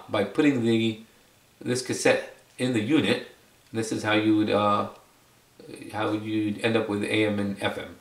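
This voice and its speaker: A man giving a casual, unscripted product demonstration in a conversational, explanatory way, repeating phrases for emphasis. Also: on the low side. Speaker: product reviewer and video presenter. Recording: roomy echo, distant microphone.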